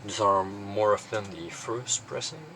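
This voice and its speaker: A man's voice: lowish voice, a little slow, background noise. A man presenting his collection in a casual, unscripted show-and-tell, rambling and hesitant with lots of filler words.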